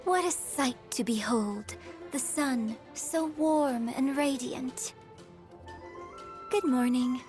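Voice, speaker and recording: A woman's voice: sits high, takes it slowly, long pauses, darkish tone. A woman voicing a gracious young opera troupe director in a video game, with formal, poised and theatrical phrasing. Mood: warm, serene. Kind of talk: scripted video game character lines. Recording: music playing behind the voice. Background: music.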